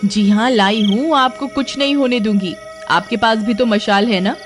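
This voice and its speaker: A woman's voice: fairly high pitch, moderate pace, music in the background, natural voice. A woman voicing a bold, feisty village wife who scolds and teases in an assertive manner. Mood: reassuring. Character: bold, feisty village wife protecting her timid husband.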